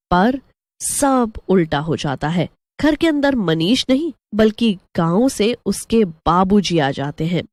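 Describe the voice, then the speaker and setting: A woman's voice: mid-range voice, darkish tone, no echo. A woman narrating a scripted horror story as a storyteller.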